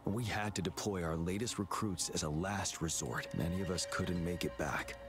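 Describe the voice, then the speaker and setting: An actor playing a stern young male commander in a game, speaking in a calm, measured way. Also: deep voice, close to an everyday voice. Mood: steady. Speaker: game character. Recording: game audio with loud music.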